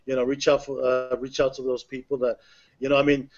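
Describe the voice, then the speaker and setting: A man hosting an online members' call, talking in a halting, filler-heavy, upbeat way. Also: mid-range voice, completely flat delivery, lo-fi sound. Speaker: host of a members' webinar. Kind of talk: casual online webinar hosting.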